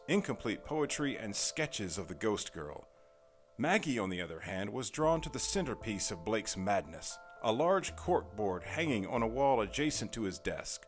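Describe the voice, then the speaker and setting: A man reading scripted narration for an audio drama as its narrator. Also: mid-range voice.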